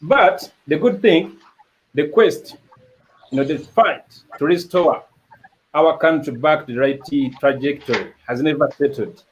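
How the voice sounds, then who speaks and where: A man's voice: on the high side, unhurried, lo-fi sound, a little hiss or hum. A man hosting an online political panel, introducing guests formally as comrades. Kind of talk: moderating a panel discussion.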